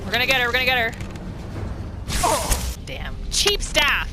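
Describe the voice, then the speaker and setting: Animated woman doing gameplay commentary. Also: fairly high pitch, normal speed, background noise. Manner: excitable, exclaiming, narrates her character's thoughts. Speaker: gaming video host.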